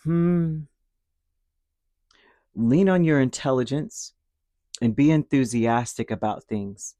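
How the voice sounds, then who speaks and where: A man giving a casual spoken tarot reading, rambling and reassuring, his throat dry and a little hoarse after a cold. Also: medium pitch, slow, warm tone, no room sound. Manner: rambling, reassuring, with many fillers and restarts. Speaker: tarot reader.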